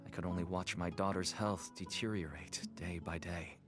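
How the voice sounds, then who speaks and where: Music plays clearly under a somewhat low male voice. It is a tired merchant and loving father from a video game, acted by a man. He talks earnestly and persuasively, measuring out his words. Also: steady tone, normal speed, natural voice. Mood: earnest pleading. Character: weary, pleading merchant and devoted father turned smuggler. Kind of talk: video game dialogue acting. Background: background music.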